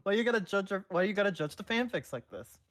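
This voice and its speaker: A man co-hosting a casual stream, laughing loudly and swearing offhandedly, who also reads a fanfic aloud. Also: high-pitched, lively intonation, fast talker, no room sound, clean studio sound. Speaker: co-streamer and reader.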